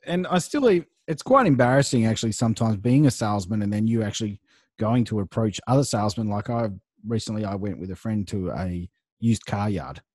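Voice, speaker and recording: A man's voice: lowish voice, expressive, brisk, a little mellow, dry recording. A man hosting a podcast, easygoing and affirming, telling stories in casual chat on a close studio mic. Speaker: podcast host. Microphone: studio microphone close up.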